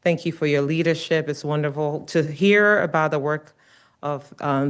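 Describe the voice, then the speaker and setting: Low-voiced woman giving a lecture. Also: clean tone. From Ghana. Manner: warm, earnest, with frequent um and uh fillers. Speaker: guest lecturer, nursing researcher. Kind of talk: academic lecture.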